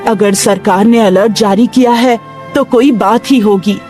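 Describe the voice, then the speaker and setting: Poor practical mother voiced by a woman, medium pitch, caring, concerned, cartoon story, background music. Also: fairly even intonation, a little slow, a little mellow, natural voice. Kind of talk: Hindi animated moral story dialogue. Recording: music behind the voice.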